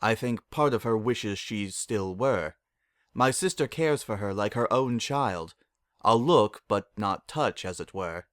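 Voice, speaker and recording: A man's voice: lowish voice, lively intonation, unhurried, no room sound, studio quality. A man voicing a stern, imperious female pony princess of the night with formal, archaic, commanding speech. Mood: measured, regal.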